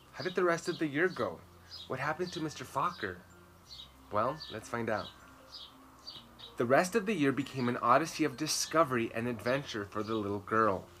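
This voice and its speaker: A man in his thirties reading a children's story aloud expressively, with slow, emphatic words. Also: medium pitch, bright, forceful tone. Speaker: children's storytime reader and host. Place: outdoor garden patio. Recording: clear background noise, outdoor birdsong. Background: birds, outdoor ambience.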